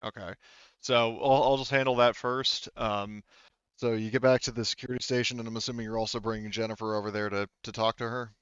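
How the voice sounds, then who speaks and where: Man, game master, smooth voice, hesitant self-correcting narration, close dry sound. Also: medium pitch, fairly even intonation, fairly quick, a bit bright, no echo, highs cut off. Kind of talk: narrating a tabletop roleplaying game.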